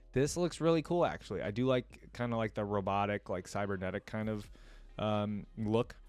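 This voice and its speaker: A man's voice: lowish voice, no room sound. A man hosting a reaction video, talking casually and thinking aloud as he addresses his viewers.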